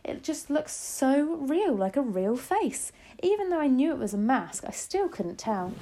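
A woman's voice: high voice, sing-song, a little slow, warm tone, voice pushed up, exaggerated voice. A woman voicing a loving, composed old grandmother in a storybook reading. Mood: earnest. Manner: affectionate, composed.

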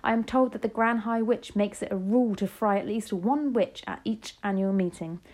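A woman reading aloud as an elderly grandmother, eager and commanding, with urgent, excited questions. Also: high voice, measured pace, pitched above the performer's own voice.

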